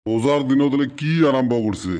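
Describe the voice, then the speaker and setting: A man's voice: mid-range voice, fairly quick, lightly acted. A man voicing an irate village father scolding his son in a comic cartoon. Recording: dull lo-fi sound under loud music. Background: loud music.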